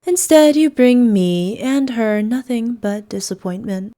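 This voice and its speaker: A woman's voice: a little high, measured pace, dark tone, natural voice. A woman playing an evil, imperious queen in a scripted roleplay, speaking in a haughty, formal, commanding manner. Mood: cold, contemptuous. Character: evil imperious queen, cruel to subjects, doting on her knight. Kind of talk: scripted ASMR roleplay acting.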